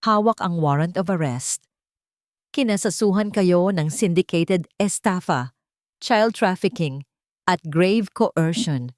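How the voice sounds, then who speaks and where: A woman narrator plays a stern Filipino police officer in a story. The delivery is formal and emphatic, in a slightly low, dry voice. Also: expressive, takes it slowly, no room sound.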